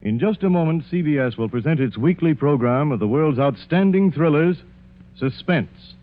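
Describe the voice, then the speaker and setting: A man's voice: fairly high pitch, animated, normal speed. A man reading a formal scripted announcement in 1940s radio style. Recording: tinny narrow-band 1940s radio recording.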